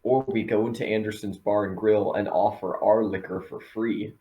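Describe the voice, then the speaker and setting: A man's voice: on the low side, fairly even intonation, moderate pace, highs cut off. A man chatting casually as a player in a tabletop game.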